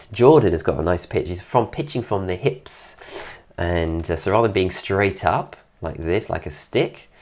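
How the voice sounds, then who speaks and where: A man recovering from an illness, his voice deeper than usual and his nose congested, giving an admiring, relaxed commentary over a dance video. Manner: admiring, keeps praising details. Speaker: dance teacher reviewing a dance video.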